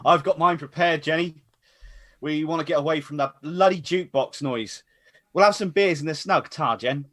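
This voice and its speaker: A man's voice: on the high side, moderate pace, fairly bright tone, close to an everyday voice. A man playing a good-natured, bantering pub regular in a radio comedy. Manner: bantering, chuckly. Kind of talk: radio comedy play reading.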